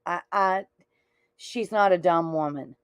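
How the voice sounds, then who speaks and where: A woman's voice: fairly low pitch, unhurried, clear, resonant tone, dry recording, clean studio sound. A woman talking casually and giving her opinions in a panel discussion.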